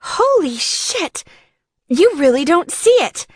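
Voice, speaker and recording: A fierce young biker woman, played by a female narrator, pitched high and loud in an audiobook. She is bossy and confrontational, pushing her loyalty with exasperation in a dry recording. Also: big pitch swings, takes it slowly, no echo, heavily acted. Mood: exasperated. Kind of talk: audiobook character dialogue.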